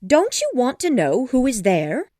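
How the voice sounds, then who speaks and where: Storybook role, played by a woman: nagging middle-aged mother, flustered and dramatic, voice swooping high, recorded dry. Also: sing-song, a little slow, a little mellow, no echo. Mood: eager, impatient. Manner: exclamatory, impatient, dramatic. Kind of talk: storybook dialogue acting.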